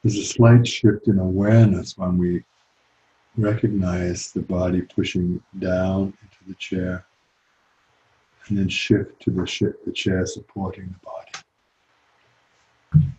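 A man leading a guided meditation as a teacher, speaking calmly and gently with long pauses. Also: sits low, muffled recording.

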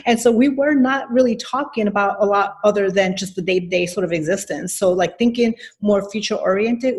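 A woman's voice: mid-range voice, darkish tone. A woman from New York City speaks as an interview guest. She explains her points carefully and at length. Her phrasing is full of hedges and restarts. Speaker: nonprofit executive guest. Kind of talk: interview answers.

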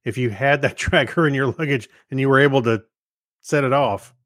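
A man's voice: mid-range voice, fairly quick, a bit bright, dry recording. Casual male podcast co-host, dry studio sound. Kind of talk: casual chat, reading listener messages aloud.

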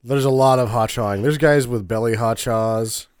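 A man in casual movie-recap chat with friends, dropping wry, profane deadpan asides. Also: medium pitch, moderate pace, clear, resonant tone, no echo, studio quality.

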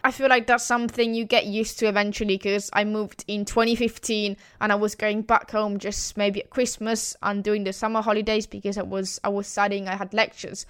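A woman's voice: mid-range voice, little pitch movement, brisk, few pauses, a bit bright, clear, resonant tone. A young Italian woman with an Italian accent, hosting her podcast in a chatty, enthusiastic way and laughing between thoughts. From Italy. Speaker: podcast host. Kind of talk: casual podcast monologue. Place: home in Wales.

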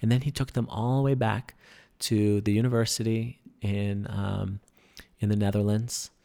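A man's voice: on the low side, level tone, normal speed, gravelly, mellow. A man, a guest on a podcast, explaining with enthusiasm in casual chat and many fillers. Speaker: podcast guest, breathing workshop instructor.

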